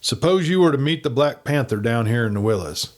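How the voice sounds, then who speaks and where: A man voicing a calm, confident outlaw in a western audiobook, speaking in a composed, articulate way. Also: mid-range voice, brisk, natural voice. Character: Lee Haines, calm, confident male outlaw.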